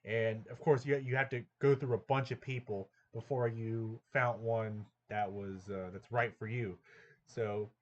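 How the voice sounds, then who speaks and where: A man in a casual vlog, explaining his routine in a loose, thinking-aloud way with plenty of ums and little "right?" checks. His voice sits at an ordinary middle pitch, and the recording sounds a little dull and lo-fi. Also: steady tone, a little slow. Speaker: language learner sharing tips.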